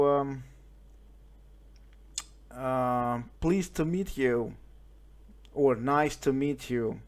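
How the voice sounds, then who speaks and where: Extremely slow pace, Russian-accented man, halting and hesitant, medium pitch, casual amateur radio chat. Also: a little mellow. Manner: halting, hesitant, searching for English words.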